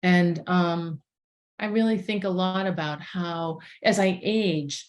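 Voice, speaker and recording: A woman's voice: lowish voice, slow pace. A woman in her sixties from inner-city Boston, a guest on a podcast, talking in a thoughtful, searching way with restarts and light laughs. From inner-city Boston, USA. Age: 60s. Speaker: podcast guest, herbalist and plant spirit medicine practitioner. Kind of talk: casual reflective conversation.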